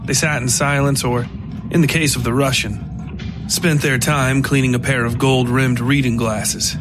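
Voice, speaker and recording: A man's voice: medium pitch, fairly even intonation. Male fiction narrator with music behind. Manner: deliberate, descriptive prose reading. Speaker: audiobook-style narrator. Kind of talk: scripted literary fiction narration.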